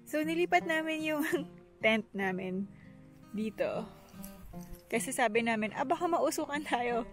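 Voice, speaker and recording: A woman's voice: a little high, animated, normal speed, long pauses, faint music behind. A woman chatting casually in a family camping vlog, explaining the things she packed, recorded outdoors at a forest campground. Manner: chatty, explaining her contribution to the trip.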